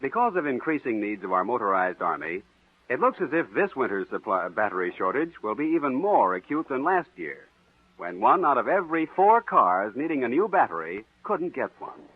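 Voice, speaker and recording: A man's voice: mid-range voice, big pitch swings, fairly quick, breathy edge. A man reading a scripted sponsor message as a 1940s radio announcer, instructive and emphatic. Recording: tinny, narrow-band vintage radio recording.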